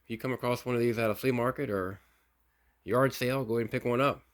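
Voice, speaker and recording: A man casually narrating a hobbyist review in an unhurried, folksy, explanatory way. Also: mid-range voice, steady tone. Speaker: radio hobbyist presenting a video.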